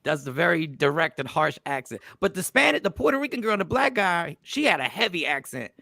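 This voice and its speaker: Man, high voice, lively swings, gravelly edge, joking, dry sound. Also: a little fast, no room sound. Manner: animated, joking, loud laughs. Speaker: show host. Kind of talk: casual group chat.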